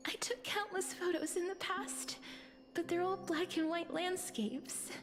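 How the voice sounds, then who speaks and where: Hushed, slow-speaking gentle maiden. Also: female voice, extremely high pitch, fairly even intonation, faint music behind, full character voice. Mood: wistful, tender. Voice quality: breathy, hushed. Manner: tender, formal, deliberate. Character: gentle, wistful, soft-spoken young maiden of death. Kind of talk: dramatic video game voice acting.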